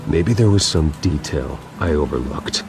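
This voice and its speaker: A man's voice: rumbling low, normal speed, music in the background. An actor playing a troubled young billionaire man, speaking in an intense, clipped, brooding way. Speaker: billionaire heir. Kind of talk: video game cutscene acting. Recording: game audio with rain ambience. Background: rain and ambience.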